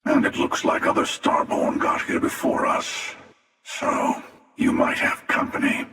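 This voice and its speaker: A man voicing a menacing, weary ageless hunter in a video game, sardonic and deliberate, with a gravelly, reverberant otherworldly voice. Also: bright, forceful tone. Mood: taunting. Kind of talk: video game character dialogue. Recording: distant voice with long hall reverb.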